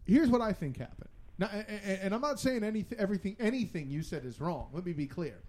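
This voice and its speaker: A man co-hosting a casual sports talk show, animated and opinionated, with a joking streak. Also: high voice, fast.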